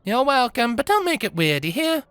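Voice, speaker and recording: A man's voice: extremely high pitch, very animated, moderate pace, fairly bright tone, clear, resonant tone, no echo, voice pushed up, heavily acted. Man doing a folksy, teasing baker mother. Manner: folksy and playful, with a homely lilt. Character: warm, teasing middle-aged mother and baker. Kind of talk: storybook character voice.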